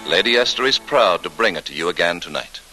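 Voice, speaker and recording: Animated man announcing an old-time radio show. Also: sits low, fast talker, rough recording. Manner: polished, grand showman delivery. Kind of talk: formal 1940s radio show introduction. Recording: old radio broadcast with orchestral music. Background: music.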